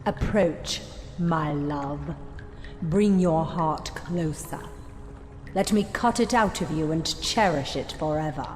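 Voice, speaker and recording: An actress playing a cold, fierce female Victorian detective in an audio drama, making deliberate, theatrical threats. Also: low-pitched, darkish tone. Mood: vengeful menace. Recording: long tunnel-like reverb with music underneath. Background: dramatic music.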